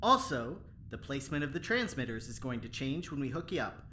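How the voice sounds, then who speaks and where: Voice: mid-range voice, sing-song, a little fast, sharp tone, a little hiss or hum. An actor playing an eager, easygoing lab engineer in an audio drama, talking in a chummy, folksy way. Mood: casual, explaining. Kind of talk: scripted audio drama acting.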